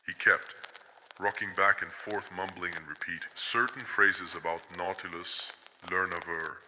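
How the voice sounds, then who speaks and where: A man playing a solemn, insistent colleague bringing urgent news in formal speech, in an analog horror audio drama. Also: deep voice. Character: deep-voiced, solemn, urgent male colleague bearing concerning news. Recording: noisy, long cavernous reverb.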